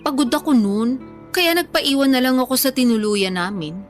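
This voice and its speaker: A young woman with a high voice banters casually in a radio drama. She is playfully defensive and emphatic, a food lover through and through. Faint music plays underneath. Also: lively intonation, moderate pace. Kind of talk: Tagalog radio drama, natural conversational acting.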